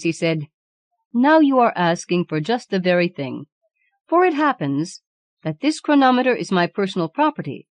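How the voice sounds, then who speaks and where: A woman's voice: on the low side, no echo, lightly acted. A woman voicing Death as a male skeleton in a storybook, garrulous, boastful and formal in his storytelling.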